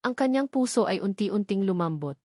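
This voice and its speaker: A woman narrates a sentimental drama in a scripted storytelling style. Her pitch sits in the middle range for a woman. The sound is dry and close, with no room around it. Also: lively intonation, fairly quick, dark tone, no room sound.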